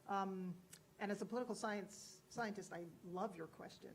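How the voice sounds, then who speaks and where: A woman's voice: medium pitch, moderate pace, slight background noise. Woman political scientist on a panel. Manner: thoughtful, some false starts. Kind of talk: academic panel discussion.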